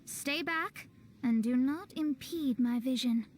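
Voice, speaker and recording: A scholarly young astrologist for a video game in a high, deliberate voice. She sounds proud and exact, with faint noise behind her. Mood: composed, helpful. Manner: self-assured, haughty, precise. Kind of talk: video game character acting.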